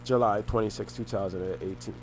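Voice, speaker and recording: Halting, low-voiced man, rambling. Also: fairly even intonation, a little hiss or hum, low-quality recording. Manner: halting, repeats and restarts phrases. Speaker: vlogger. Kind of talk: rambling personal monologue.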